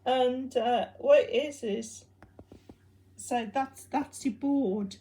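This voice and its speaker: A woman presenting a hobby project in an informal, unscripted demonstration, explaining things in a hesitant, halting way. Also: high-pitched, warm tone.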